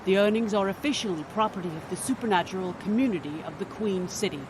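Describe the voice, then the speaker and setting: A man's voice: sits high, fast, background noise, natural voice. A man playing a haughty, officious goblin queen in an audio drama, with a commanding, formal manner. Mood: imperious. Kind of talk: scripted audio drama acting.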